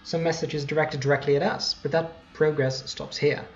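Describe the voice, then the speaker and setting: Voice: mid-range voice, little pitch movement, normal speed, highs cut off, a little hiss or hum. A person giving casual let's play commentary, rambling and correcting themselves along the way. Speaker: gameplay host.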